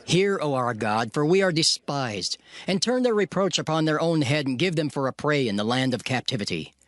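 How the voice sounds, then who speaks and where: A man narrating a dramatized scripture reading in a measured, solemn cadence, with loud music under his voice. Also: fairly high pitch, expressive, dry recording.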